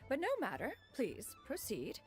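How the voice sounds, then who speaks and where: A woman's voice: on the high side, wildly swooping pitch, normal speed. An actress playing an ageless, proud immortal master in a video game, pompous and archaic in her formal speech. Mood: proud, storytelling. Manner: pompous, archaic, formal, calls herself "one". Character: ageless proud immortal female master, haughty yet fond. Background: music.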